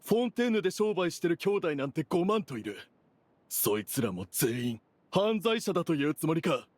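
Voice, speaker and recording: Husky, hot-tempered younger man. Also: on the high side, sing-song, normal speed, darkish tone, dry recording. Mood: defensive, angry. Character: defensive, hot-tempered younger brother and crooked merchant. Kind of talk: Japanese game dub dialogue.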